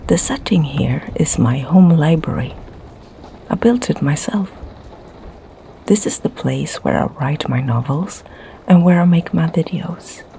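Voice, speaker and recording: Low whispering Swedish woman. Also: slight background noise, poor audio. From Sweden. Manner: soft, slow whisper. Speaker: ASMR creator and storyteller. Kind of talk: whispered ASMR storytelling. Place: home library, candlelit.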